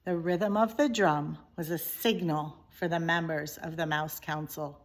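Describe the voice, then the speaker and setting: A woman narrating a children's story expressively, with deliberate, dramatic emphasis. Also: sits low, fairly even intonation. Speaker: story reader, teacher.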